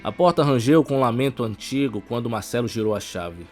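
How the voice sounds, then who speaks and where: A man's voice: mid-range voice, expressive, brisk, a little mellow. A man with a Brazilian accent reading a scripted suspense audiobook as narrator, with music behind him.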